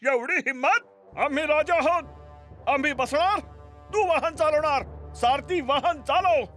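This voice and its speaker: Puffed-up hot-tempered cartoon king, high voice, big royal speeches, music under it. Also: unhurried, sharp tone, full character voice. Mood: haughty. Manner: grandiose, declamatory royal speech. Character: pompous, hot-tempered man who believes he is a king. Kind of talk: exaggerated cartoon dub acting.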